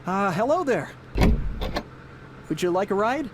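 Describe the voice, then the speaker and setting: An actor playing a haunted, desperate young driver in a radio drama, earnest and halting, stammering when agitated. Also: male voice, sits high, sing-song, background noise. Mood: nervously friendly. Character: haunted, desperate young male cross-country driver, unravelling. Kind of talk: radio drama acting with first-person narration. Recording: music behind the voice. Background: dramatic music and car sounds.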